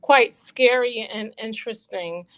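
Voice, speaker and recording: A woman in her forties joins a relaxed live-stream chat as a guest. She talks in a lively, teasing way. Her voice sits a little high and sounds dry and close. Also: measured pace, no echo, poor audio. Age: 40s. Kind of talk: casual chat on a video call.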